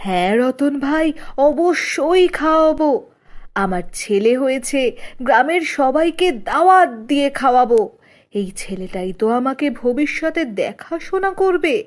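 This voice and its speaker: A woman's voice: sits high, lively intonation, normal speed, voice pushed up. A woman voicing a harsh, domineering village father in a children's cartoon, with a blunt, commanding manner. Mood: delighted. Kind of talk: children's cartoon character acting.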